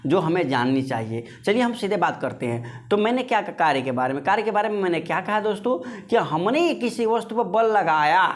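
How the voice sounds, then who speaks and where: Guy teaching physics, voice a bit on the high side, chatty, keeps repeating stuff and asking then answering. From India. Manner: conversational, repeats phrases, poses and answers his own questions; addresses viewers as friends. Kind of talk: informal explanatory lesson.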